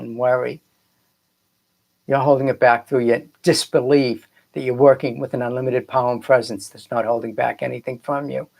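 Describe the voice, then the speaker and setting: Male spiritual coach, middle-aged or older, Boston accent, medium pitch, earnest and rambling livestream delivery. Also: vocal fry. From Boston area, United States. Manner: earnest, rambling, repeats phrases for emphasis. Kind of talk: casual motivational livestream talk.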